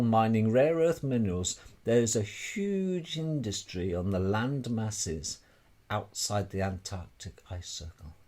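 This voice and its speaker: A man's voice: mid-range voice, darkish tone. A man giving an informal, emphatic explanatory monologue as an amateur presenter, repeating phrases and posing rhetorical questions.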